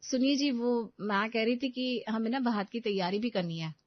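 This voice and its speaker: A woman's voice: fairly high pitch, normal speed, dull audio, close to an everyday voice. A woman voicing a doting, indulgent middle-aged mother and housewife in a cartoon family story. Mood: reassuring.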